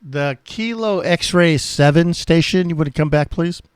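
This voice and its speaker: A man hosting casual amateur radio contacts, talking in a friendly, halting way. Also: on the high side, noisy background. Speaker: amateur radio operator and channel host.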